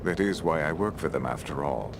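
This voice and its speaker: An actor playing a calculating, courteous agent of shadowy patrons, composed and formal in a fantasy game. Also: male voice, rumbling low, expressive, a little fast, background noise. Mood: composed scheming. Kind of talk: theatrical fantasy game voice acting.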